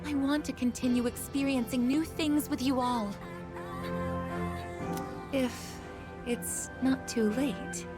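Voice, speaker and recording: A woman voicing a gentle, earnest young female android in a video game, speaking in a soft, halting, polite way. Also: high voice, steady tone. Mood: hesitant, earnest. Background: loud game music.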